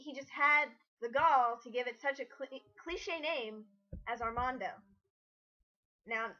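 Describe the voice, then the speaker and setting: High bright woman, blunt critic. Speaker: guest commentator, critic. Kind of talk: casual critical commentary. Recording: dull lo-fi home recording.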